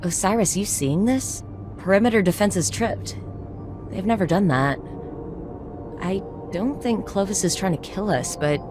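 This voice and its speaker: A woman's voice: mid-range voice, a little slow, over music, close to an everyday voice. An actress playing a wry, determined woman scientist and adventurer in a video game, with a casual, dry delivery. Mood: casual, alert.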